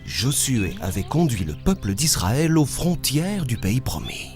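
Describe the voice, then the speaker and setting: A man's voice: mid-range voice, a little slow. An actor voicing a friendly adult male storyteller in a children's cartoon. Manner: warm, lively storytelling. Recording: cartoon with music underneath.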